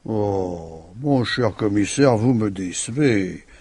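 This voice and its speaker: An actor playing an ailing, sardonic middle-aged husband in a French radio mystery, with a husky voice and ironic, drawling delivery. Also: male voice, on the low side, expressive, slow, darkish tone, no echo, full character voice. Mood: mocking. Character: ailing, sardonic, bedridden husband suspected of murder.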